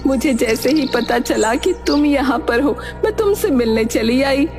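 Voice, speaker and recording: A woman's voice: high voice, expressive, measured pace, darkish tone, faint music behind, voice pushed up. A woman voicing a cunning hunchbacked woman who poses as a sweet-talking aunt in a storybook tale. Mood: affectionate, coaxing. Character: scheming hunchbacked woman posing as a loving aunt.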